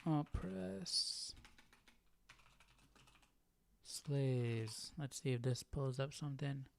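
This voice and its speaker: A man hosting a live Bible study, chatting casually and thinking out loud. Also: mid-range voice, extremely slow, gravelly. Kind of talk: casual livestream chat with reading and commentary.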